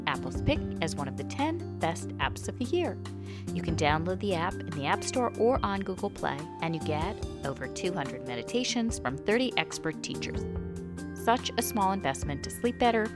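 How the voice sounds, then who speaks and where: Woman podcast host with lively, varied intonation. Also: fairly low pitch. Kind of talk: podcast introduction and interview.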